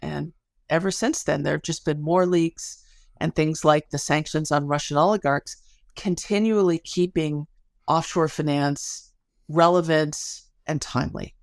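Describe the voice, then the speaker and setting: A woman with a noticeably creaky voice talks as an interview guest. She explains her research like a storyteller, with casual asides and colorful phrasing. The sound is dry, with no room around it. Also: sits low, measured pace, no echo.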